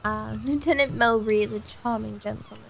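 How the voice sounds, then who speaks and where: A woman's voice: fairly high pitch, steady tone, fairly quick, gravelly, a little hiss or hum. A woman playing a fretful, theatrical young lady in a gothic comedy audio drama, with old-fashioned, sighing diction. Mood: dreamy. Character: restless, fretful young gothic-novel-loving lady companion.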